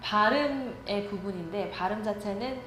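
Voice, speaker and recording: A woman teaching pronunciation, modelling sounds slowly and clearly. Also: medium pitch, fairly bright tone, echoey room, a little hiss or hum, low-quality recording. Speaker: speech teacher. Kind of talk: pronunciation lesson.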